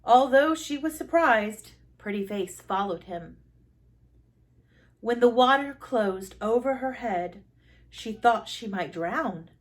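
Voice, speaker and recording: A woman reading a children's story aloud as expressive narration, with deliberate dramatic emphasis and pauses. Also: on the high side. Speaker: storybook reader.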